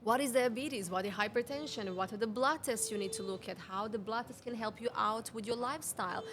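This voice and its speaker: A woman's voice: fairly high pitch, noisy background. A woman in her thirties from Serbia, a non-native English speaker with a Serbian accent, talking earnestly as a podcast guest and explaining health ideas through strings of questions. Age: 30s. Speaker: guest, women's health mentor. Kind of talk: conversational podcast interview. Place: cafe.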